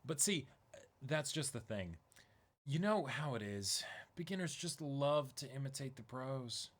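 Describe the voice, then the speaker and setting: A man voicing a cheeky, smooth-talking young male dive shop helper in a manga reading. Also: medium pitch, measured pace. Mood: placating. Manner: placating, flattering.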